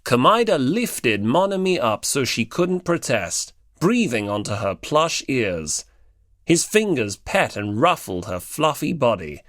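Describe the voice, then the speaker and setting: A man's voice: medium pitch, sing-song, a little slow, fairly bright tone, dry recording, studio quality. A man reading scripted story narration as an audiobook narrator.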